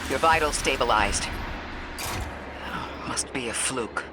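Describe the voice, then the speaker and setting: An actor playing a calm, analytical robot companion in a video game, speaking in a measured, formal and precise way. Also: male voice, mid-range voice, very noisy, close to an everyday voice. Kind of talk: scripted video game dialogue.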